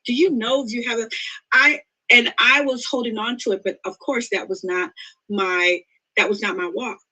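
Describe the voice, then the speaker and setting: Woman, 50s, podcast guest; bright, forceful, earnest and emphatic. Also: on the high side, fairly even intonation, normal speed, breathy edge, low-quality recording. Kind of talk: interview conversation.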